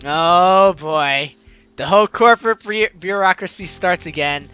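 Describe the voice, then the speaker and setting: A man playing a game and reading its dialogue aloud, animated with joking asides. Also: high voice, measured pace, smooth. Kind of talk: let's play narration. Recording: game music behind.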